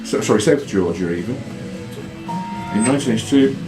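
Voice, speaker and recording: A male quiz host with a very animated, swinging delivery, reading questions and trading banter. He sounds in an echoey room, with music playing behind him. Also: lowish voice, moderate pace. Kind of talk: live pub-style quiz hosting with banter.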